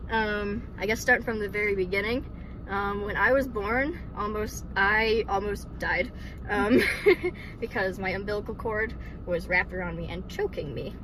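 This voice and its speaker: A woman in her twenties from Michigan tells her faith story in a casual, giggly way, dotted with ums and likes. A faint hiss of background noise sits under her voice. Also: medium pitch. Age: 20s. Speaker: vlogger sharing testimony. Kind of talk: casual personal testimony.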